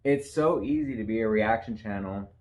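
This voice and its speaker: A man co-hosting a casual podcast who talks in a profane, animated way and laughs often. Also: lowish voice, normal speed. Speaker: podcast co-host. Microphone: camera facing the hosts.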